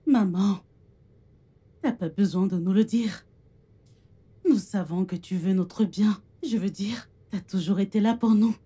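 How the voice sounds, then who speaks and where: An actress playing a gentle, supportive young daughter and peacemaker in a drama, soft and husky in tone. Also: female voice, medium pitch, measured pace, long pauses. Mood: reassuring. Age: young adult. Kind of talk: dramatic film dialogue in French.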